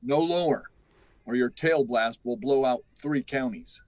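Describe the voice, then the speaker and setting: A man's voice: mid-range voice, measured pace, darkish tone, no room sound, natural voice. A man playing a careful, insistent space project chief in a 1950s radio drama style. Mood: businesslike, urgent. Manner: insistent, instructing. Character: stern, careful male test project chief.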